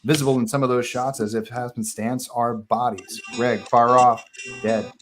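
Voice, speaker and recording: A man's voice: mid-range voice, steady tone, normal speed, faint music behind. A man narrating stage directions at a live table read of a horror screenplay, in scripted narration style.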